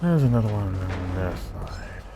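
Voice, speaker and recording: A man's voice: sits low, animated, measured pace. A man giving casual gameplay commentary, joking and muttering to himself as he plays. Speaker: gamer narrating own playthrough. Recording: game music behind commentary.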